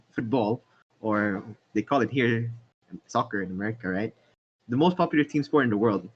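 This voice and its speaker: A young man hosting a casual podcast, talking in a relaxed conversational way with some fillers. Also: mid-range voice, husky. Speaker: podcast host. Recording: dull lo-fi mic.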